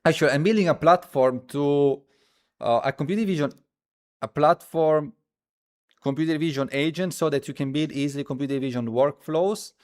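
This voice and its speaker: A man who speaks as a hosts a casual live coding stream, thinking aloud haltingly and repeating his phrases as he demonstrates. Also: fairly high pitch. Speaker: livestream host.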